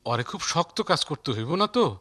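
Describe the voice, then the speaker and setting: A man's voice: fairly high pitch, sing-song, moderate pace, sharp tone, no room sound, studio quality, heavily acted. A man voicing a worried, protective village father in a cartoon, anxious and hesitant, with a rural Bangladeshi accent. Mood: protective, reluctant.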